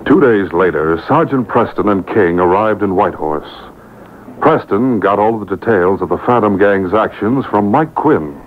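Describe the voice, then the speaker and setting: A man with a low voice delivers deliberate, dramatic vintage radio drama narration. The sound is narrow like a phone line, with clear background noise. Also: expressive. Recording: noisy, tinny radio broadcast taped off air.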